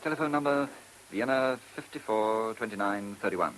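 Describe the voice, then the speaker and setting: A man's voice: on the low side, normal speed, a bit bright, natural voice, rough recording. A man with a British accent playing an eager, smug junior police detective in a radio drama, deferential yet cocky. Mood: eager, efficient. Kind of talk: mid-century British radio drama acting. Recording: old radio recording with faint hiss.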